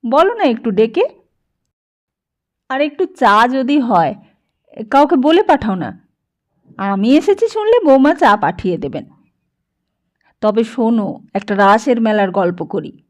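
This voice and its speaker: A woman's voice: fairly high pitch, very animated, a little slow, long pauses. A woman voicing a garrulous, nosy old male village priest in an audiobook, rambling familiarly from question to question. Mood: coaxing, familiar. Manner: rambling, chatty, question after question. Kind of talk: dramatised audiobook dialogue.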